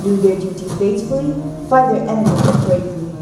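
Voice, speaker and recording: A woman playing a gentle, earnest member of a nineteenth-century family in a school stage play. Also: medium pitch, expressive, a little fast, natural voice. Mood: tender. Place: school stage, recorded from the audience. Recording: echoey hall, far microphone, faint music.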